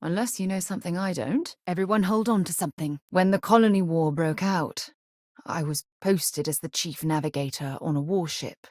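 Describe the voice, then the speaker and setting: A woman voicing a composed, principled female space explorer and former navigator in a video game, articulate and measured in her phrasing. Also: lowish voice, a little mellow, dry recording, clean studio sound, lightly acted. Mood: urgent, commanding. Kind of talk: acted game dialogue lines.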